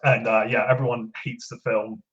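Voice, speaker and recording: A man chatting casually on a podcast in a hesitant, halting way. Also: mid-range voice, level tone, fairly bright tone. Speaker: podcast co-host. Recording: dull lo-fi audio.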